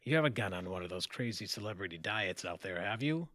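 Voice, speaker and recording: A man's voice: fairly low pitch, expressive, fast, fairly bright tone, no room sound. A man playing a doting, generous middle-aged father in an audio theatre comedy-drama, fond and indulgent. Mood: proud.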